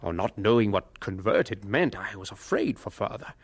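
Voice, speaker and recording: Earnest storytelling evangelist, dry sound. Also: male voice, lowish voice, very animated, moderate pace, vocal fry, breathy edge, dry recording, exaggerated voice. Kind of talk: scripted dramatic narration.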